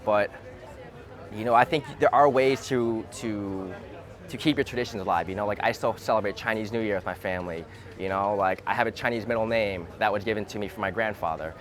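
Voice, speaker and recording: A man from the United States talking through his views in a relaxed conversation, hesitant and repeating words as he thinks aloud, recorded outdoors. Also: lowish voice, brisk. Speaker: interviewee. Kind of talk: thoughtful casual conversation. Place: outdoors in a city.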